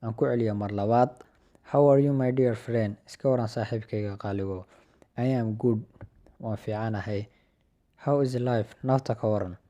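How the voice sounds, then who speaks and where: A young Somali-accented man reads short English practice dialogues and then explains them in his own language. He has a mellow, dark voice and a patient teacher's manner. He says each English phrase slowly and a little louder, then drops to a quieter voice for the explanation. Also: on the low side, fairly even intonation. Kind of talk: language lesson, reading sample dialogues and translating.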